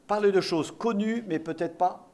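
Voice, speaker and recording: Male preacher from eastern France, fairly high voice, exhorting sermon delivery with repeated key phrases. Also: moderate pace, darkish tone. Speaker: visiting evangelical pastor preaching.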